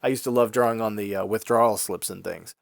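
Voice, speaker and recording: A man hosting an art vlog in a casual, conversational and explanatory way, his voice congested and a little raspy from a head cold. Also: on the low side, steady tone, fast talker, no echo, clean studio sound. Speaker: YouTube art channel host.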